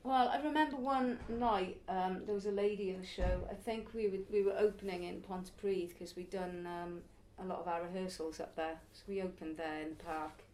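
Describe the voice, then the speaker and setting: A woman's voice: lowish voice, steady tone, moderate pace, hardly any pauses, darkish tone, a little hiss or hum, low-quality recording. A woman in her early forties, a circus performer and youth circus teacher, answering interview questions in a chatty, reflective way. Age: early 40s. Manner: chatty, reflective, laughs now and then.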